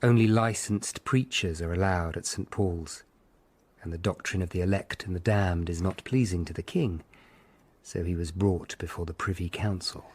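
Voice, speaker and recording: A low, husky man's voice tells a historical radio drama in the first person. He is a grave, observant Tudor lawyer, with measured, literate phrasing. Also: darkish tone. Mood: sombre.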